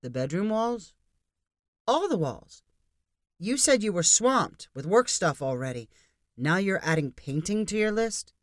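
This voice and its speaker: A mild, easygoing husband, voiced by a woman reading the story, who speaks in a placating tone. Her delivery keeps a lively lilt, and the sound stays dry and close. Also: medium pitch, a little slow, no room sound.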